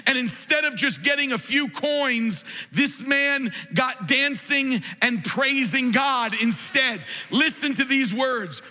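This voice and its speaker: A man preaching a sermon in a large church, impassioned and building to shouts. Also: very high voice, lively intonation, takes it slowly, hardly any pauses, faint music behind. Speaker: pastor. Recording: long reverb of a large hall.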